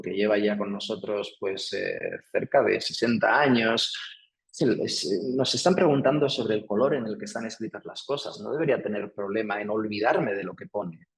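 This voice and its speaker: Low-voiced Spanish man lecturing. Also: rough recording. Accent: Peninsular Spanish. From Spain. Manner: explanatory, uses filler "eh" and checks in with "¿sí?". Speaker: guest lecturer. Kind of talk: academic lecture.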